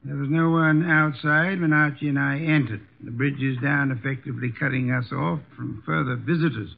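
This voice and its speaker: Pompous, gravelly old-time radio detective. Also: male voice, medium pitch, fairly even intonation, normal speed. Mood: commanding. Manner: pompous, formal, precise diction. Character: imperious, testy, large-brained armchair detective. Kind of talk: 1950s American radio drama acting. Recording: dull lo-fi vintage radio recording.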